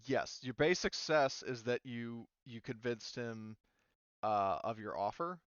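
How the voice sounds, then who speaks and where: A man's voice: mid-range voice, takes it slowly, clear, resonant tone, no echo, lo-fi sound. A man running a tabletop roleplaying game as game master, talking hesitantly with many ums and restarts.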